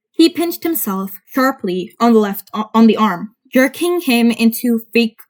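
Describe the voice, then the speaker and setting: A woman's voice: mid-range voice, darkish tone. A woman narrating fan fiction as an amateur audiobook, reading informally with some stumbles over words. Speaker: podfic narrator.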